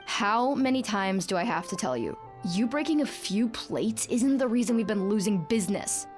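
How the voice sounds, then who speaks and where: A woman acts as a lazy spirit lady in an anime video game. Her voice sits at a medium pitch. She is blunt, grumpy and sharp-tongued, with music playing behind. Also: lively intonation, moderate pace, natural voice. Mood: scolding. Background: background music.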